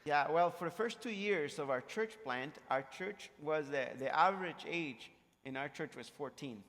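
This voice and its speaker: A man from Costa Rica with a Costa Rican accent, a native Spanish speaker, telling his story conversationally as a guest pastor, in a halting way. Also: on the high side, animated, fairly bright tone. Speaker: missionary pastor, interview guest. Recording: reverberant large hall.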